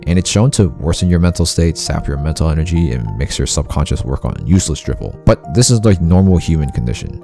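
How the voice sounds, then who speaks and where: A man's voice: sits low, dark tone. A young man talking through his thoughts on happiness and self-improvement in a rambling, self-deprecating way, often laughing at himself. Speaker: vlogger. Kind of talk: casual self-help monologue. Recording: faint guitar music behind.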